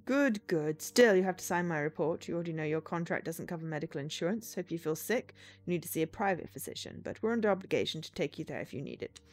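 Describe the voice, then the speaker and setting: A woman's voice: on the low side, animated, fast talker, hardly any pauses. A woman voicing an officious company doctor in a visual novel, with music behind. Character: brisk company doctor doing a routine check.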